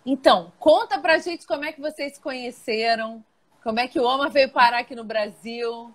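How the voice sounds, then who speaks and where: A woman's voice: a little high, normal speed. Upbeat woman hosting a live interview. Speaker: host.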